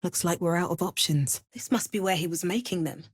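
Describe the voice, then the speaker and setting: An actress playing a watchful, wry and resolute female fantasy adventurer in a video game, with a measured, theatrical delivery. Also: lowish voice, husky, no echo, studio quality. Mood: observant, wry. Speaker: voice actor for a player character.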